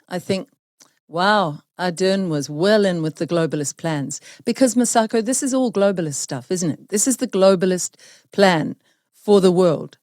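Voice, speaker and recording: A woman's voice: lowish voice, darkish tone, dry recording, studio quality. A woman from New hosting an interview, speaking emphatically and indignantly. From New Zealand. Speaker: host. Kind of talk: opinionated interview talk.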